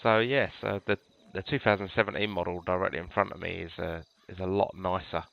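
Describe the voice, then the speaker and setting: A British man with a low, gravelly voice, a little stuffed up from a cold. He chats through a game walkthrough in a relaxed, rambling way, full of ums and restarts, and the recording sounds dull and lo-fi. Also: brisk. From United Kingdom. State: congested from a cold. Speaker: gamer showing police cars. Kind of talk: casual gameplay walkthrough.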